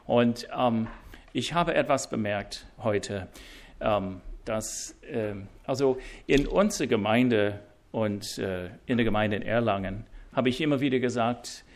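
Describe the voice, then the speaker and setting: A man with an English-speaking accent, a guest preacher opening a sermon in halting speech full of fillers and restarts, with dry self-deprecating humor. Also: medium pitch. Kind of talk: sermon introduction. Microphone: wireless mic.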